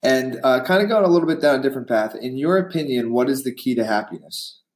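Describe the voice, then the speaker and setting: A man hosting a business podcast, reading an ad and putting prepared questions to his guest in a steady way. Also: medium pitch, quick pace. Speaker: podcast host. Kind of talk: podcast interviewing.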